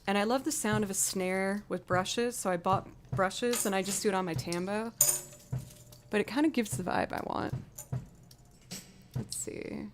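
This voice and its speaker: A woman with a low alto voice, livestreaming as a musician and chatting casually while she builds loops, often thinking out loud. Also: a little slow, pauses often, noisy background. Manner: chatty, talks aloud to herself.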